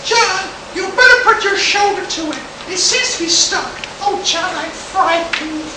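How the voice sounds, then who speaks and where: Woman acting very shrill, melodramatic frightened heroine, echoey hall. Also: a little slow. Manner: melodramatic, wailing exclamations. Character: frightened, shrieking woman in pulp moon story. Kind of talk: hammy live radio play acting. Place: convention hall stage. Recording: echoey hall, far from mic, loud noise.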